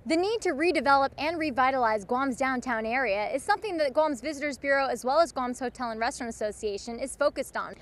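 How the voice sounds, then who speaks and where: A woman's voice: high-pitched, a little hiss or hum. A woman delivering scripted television news reports as a field reporter.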